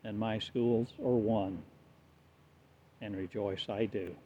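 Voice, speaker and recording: A man declaiming repetitive, incantatory prophetic verses, his delivery swelling louder and fading away. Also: fairly low pitch, steady tone, takes it slowly. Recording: tinny phone-like audio with faint noise.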